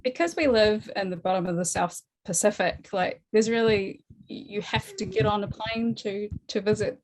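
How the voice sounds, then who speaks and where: A woman's voice: mid-range voice, moderate pace, background noise. A woman in her forties with a New Zealand accent, a guest talking casually in an interview, chatty with frequent laughs and many fillers. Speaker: guest artist.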